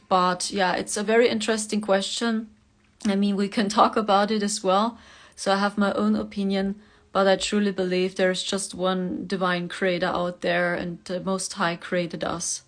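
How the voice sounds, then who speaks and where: A woman whose first language is German, hosting a casual spiritual livestream, reading viewer comments and laughing often. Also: medium pitch. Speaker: spiritual reader, livestream host.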